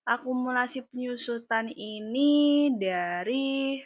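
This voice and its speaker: A woman's voice: a little high, slow pace, no room sound, highs cut off. A young woman, a student, walking through accounting calculations in a class presentation, talking hesitantly with fillers. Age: young adult.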